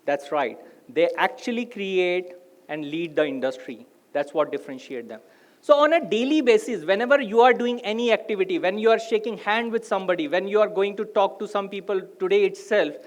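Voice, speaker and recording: A man's voice: high-pitched, expressive, moderate pace. A middle-aged man from India, a native Hindi speaker with an Indian English accent, giving a live motivational talk and putting rhetorical questions to the audience.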